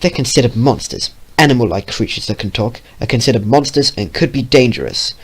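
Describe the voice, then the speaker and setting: A man voicing a cold young man who speaks in a flat, dismissive way. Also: medium pitch, fairly quick, breathy edge.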